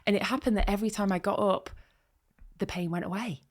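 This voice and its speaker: A woman's voice: mid-range voice, quick pace. A woman co-hosting a podcast, chatting casually and telling a story with frequent giggles. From United Kingdom. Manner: giggly, storytelling, laughs often. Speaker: podcast co-host. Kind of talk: casual chat.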